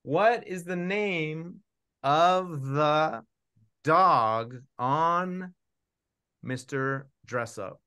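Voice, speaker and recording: A man's voice: on the high side, drawn-out words, sharp tone, clear, resonant tone. A middle-aged man, hosting an online event with casual, joking chat. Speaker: children's author and puppeteer hosting his book launch. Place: room at home, on a video call.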